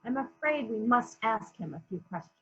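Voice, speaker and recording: Woman playing a bright, probing police investigator. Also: a little high, expressive, husky, dull audio, heavily acted. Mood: polite, firm. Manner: measured, polite but probing, formal. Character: calm, persistent female police investigator questioning witnesses. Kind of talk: dramatic reading of a murder mystery.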